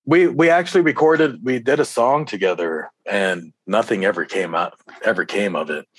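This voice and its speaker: Deep-voiced man chatting, slightly husky. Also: normal speed, no echo. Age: middle-aged. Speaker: interview guest, rapper. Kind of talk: casual chat.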